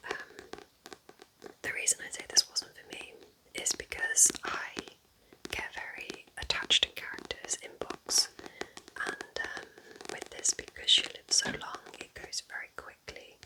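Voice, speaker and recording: An ASMR host recommending books in a breathy, unvoiced whisper, soft, unhurried and gently enthusiastic. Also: rough recording.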